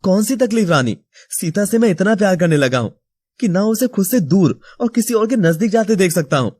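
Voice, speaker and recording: Man acting troubled young husband, higher-pitched voice. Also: lively intonation, fairly quick, darkish tone, no room sound. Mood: jealous, anguished. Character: troubled, jealous young husband, earnest and confused. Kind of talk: acted Hindi audio story dialogue.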